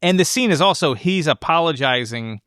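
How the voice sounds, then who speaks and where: A man's voice: fairly high pitch, expressive, moderate pace, a bit bright, clear, resonant tone, no echo, studio quality. A man co-hosting a podcast, talking through a film in a casual, enthusiastic and rambling way. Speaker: podcast co-host discussing a film.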